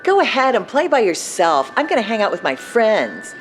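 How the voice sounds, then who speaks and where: A woman's voice: mid-range voice, wildly swooping pitch, moderate pace, voice pushed up. A woman voicing a bossy, rambunctious eight-year-old boy in a horror audio story. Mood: bossy, dismissive. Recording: music behind the voice.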